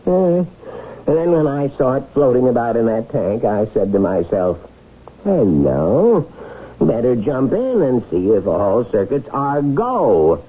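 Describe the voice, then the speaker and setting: A breezy inventor hero in a vintage radio play. His husky, animated voice explains things genially and courteously, through a thin phone-like recording. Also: mid-range voice, unhurried. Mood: confiding, amused. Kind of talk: 1970s radio drama acting.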